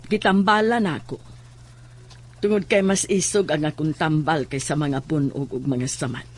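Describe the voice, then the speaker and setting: A woman playing a calm, curt, mysterious healer in a horror audio drama. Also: deep voice, normal speed. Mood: calm, commanding.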